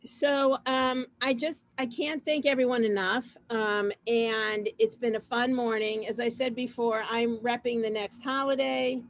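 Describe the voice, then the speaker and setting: A woman casually hosting an online community gathering over a video call, chatting informally in a halting way with trailing asides. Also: fairly high pitch, steady tone. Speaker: host of an online community program. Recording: noisy video call audio.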